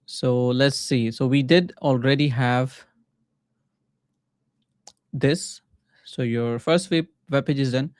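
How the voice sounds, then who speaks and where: A man from Pakistan with a Pakistani accent and Urdu as his first language, casually thinking aloud in short halting phrases as he live-streams coding. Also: medium pitch, no room sound, clean studio sound. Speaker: livestreaming coder and mentor.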